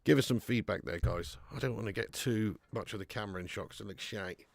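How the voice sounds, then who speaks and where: A man hosting a live fan watch-along of a football match at home, chatting to viewers with sweary banter and bursting into loud cheering at chances. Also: on the low side, fast talker, creaky, no room sound. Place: at home in front of a camera.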